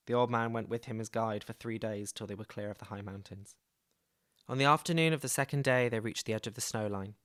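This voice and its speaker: A man reading a novel aloud in a calm, even storybook manner. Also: medium pitch. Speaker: reader.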